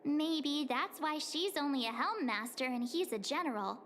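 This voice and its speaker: A woman's voice: sits high, animated, moderate pace, a bit bright, a little hiss or hum. A woman voicing a candid, casual young woman in a fantasy video game. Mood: musing.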